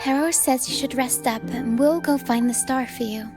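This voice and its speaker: Lady playing a determined young game girl, high voice, hopeful and kinda whimsical, music behind her. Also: normal speed, close to an everyday voice. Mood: reassuring. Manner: earnest, musing. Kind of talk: video game voice acting.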